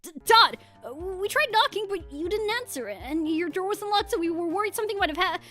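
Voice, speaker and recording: A woman's voice: very high-pitched, animated, brisk, sharp tone, faint music behind, pitched above the performer's own voice, full character voice. A woman voicing an earnest, anxious penguin agent in a game story, caring, apologetic and encouraging. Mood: earnest, concerned. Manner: stammering, encouraging.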